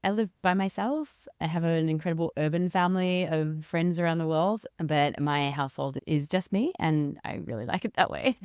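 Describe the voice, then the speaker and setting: Interview guest, woman, 40s, Australian accent, smooth resonant tone, conversational, dry close sound. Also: low voice, normal speed, few pauses, a little mellow, dry recording. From rural South Australia. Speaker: interview guest, leadership consultant and researcher.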